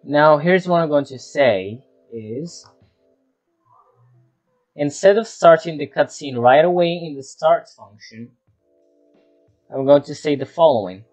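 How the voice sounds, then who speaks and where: A man's voice: fairly high pitch, lively intonation, a little slow, pauses often, a little mellow, soft background music. A man giving an unscripted screen-share tutorial, talking through each step as he works and often repeating himself. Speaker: tutorial presenter.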